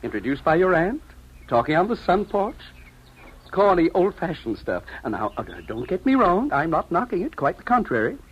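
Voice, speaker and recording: A man's voice: high voice, very animated, normal speed, breathy edge, full character voice. An actor playing a brash, slick salesman in an old-time radio drama, with breezy, boastful patter. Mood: breezy, familiar. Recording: muffled vintage radio recording.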